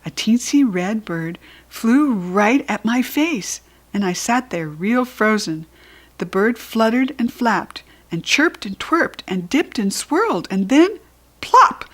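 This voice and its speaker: A woman with an American accent narrating a children's storybook expressively, with animated, dramatic emphasis. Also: on the high side. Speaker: storybook reader.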